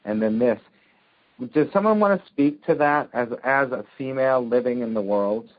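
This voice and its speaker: A man leading a spiritual discussion group, speaking in a gentle, encouraging way and often murmuring agreement. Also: mid-range voice, normal speed. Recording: dull lo-fi sound.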